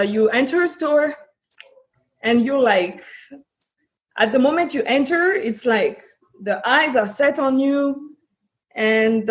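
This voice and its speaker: Slow, halting woman. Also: fairly high pitch. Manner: halting, many restarts and fillers. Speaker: panelist. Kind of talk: discussion panel.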